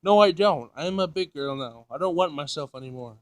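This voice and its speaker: A man's voice: on the high side, a little fast, dry recording, natural voice. A man voicing a curious, blunt seven-year-old girl with childish, direct speech in a visual novel. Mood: indignant. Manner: childish, simple, direct wording.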